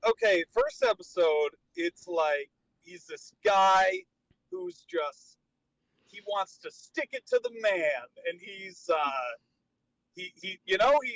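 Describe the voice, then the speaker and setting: High-pitched, gravelly, animated man. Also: low-quality recording. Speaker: podcast after-show panelist. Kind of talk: casual chat.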